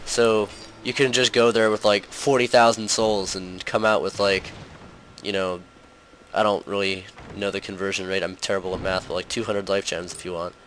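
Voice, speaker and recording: A young man hosting a gaming channel, talking through gameplay in a casual, rambling way full of fillers, his voice slightly hoarse from a cold. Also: deep voice, flat delivery, normal speed, fairly bright tone, a little hiss or hum, low-quality recording.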